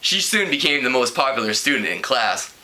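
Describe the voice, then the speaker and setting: A man reading a teen novel aloud in an animated way, now and then stumbling over words. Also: mid-range voice, a little fast. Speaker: amateur book reader.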